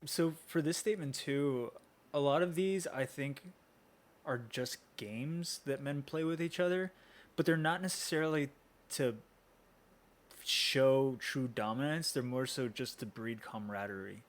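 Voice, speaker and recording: A man with an average-pitched voice talks informally on a podcast panel. He explains his take conversationally, slipping into short acted-out quotes as examples. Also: measured pace.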